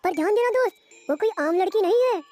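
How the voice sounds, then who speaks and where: Shrill teasing village lad done by a woman. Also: expressive, fast talker, mellow, soft background music. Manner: teasing, emphatic. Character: teasing village youth, a gossipy young man. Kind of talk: animated horror story acting.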